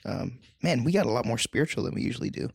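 A man's voice: mid-range voice, animated, fast, vocal fry, a little mellow, husky, no room sound, studio quality. A man co-hosting a casual faith podcast, rambling and self-deprecating, laughing often. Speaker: podcast co-host. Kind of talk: casual discussion.

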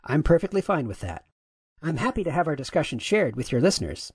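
A man reading the lines of a courteous, gender-neutral AI chatbot, with his voice pitch-shifted upward. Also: big pitch swings, fairly quick, slightly rough, dry recording, studio quality. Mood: polite, agreeable. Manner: courteous, affirming, asks follow-up questions. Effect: pitch raised.

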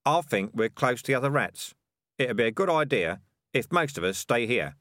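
A calm, courteous rat leader who speaks in measured tones, as voiced by a male storyteller. The reading is close and dry, with the cadence of a storybook told aloud. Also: medium pitch, no echo.

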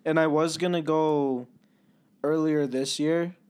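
A man's voice: on the high side, steady tone, slow pace, darkish tone, clean tone. A man in his twenties chatting casually on a podcast couch, telling stories and laughing often. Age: 20s. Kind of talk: casual chat.

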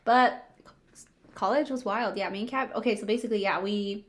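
A woman in her twenties co-hosting a podcast, chatting casually with quick teasing and slang. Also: medium pitch. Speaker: podcast co-host. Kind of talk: casual chat.

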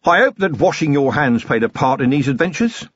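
A man voicing a gruff middle-aged police commander who grumbles dryly. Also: mid-range voice, very animated, brisk, a bit bright, no echo, highs cut off, voice pushed up. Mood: wry. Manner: dry, grumbling and wry.

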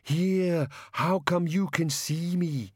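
A man's voice: on the high side, takes it slowly, slightly rough, no echo, studio quality, voice pushed up. A man voicing a whining, pleading bogeyman monster for an audiobook. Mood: puzzled, whining. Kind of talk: audiobook character voice.

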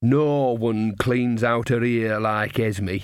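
A gossipy old witch, as a man puts her on for a storybook, drawling her words slowly. The voice is mid-pitched and close, with a dry sound. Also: fairly even intonation, clear, resonant tone, no room sound, close to an everyday voice. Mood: drawling gossip. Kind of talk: audiobook character voice.

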